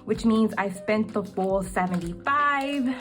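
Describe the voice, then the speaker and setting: A young woman talks casually through her monthly budget, chatty and full of ums. Her mid-pitched voice is slightly cracked today. Faint music plays softly under her voice. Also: animated, slow. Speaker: budgeting vlogger.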